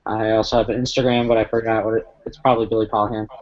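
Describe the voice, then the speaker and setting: A man's voice: lowish voice, little pitch movement, racing. A man from Missouri, a podcast panel guest, chatting in an easygoing way over dull online call audio. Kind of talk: casual chat.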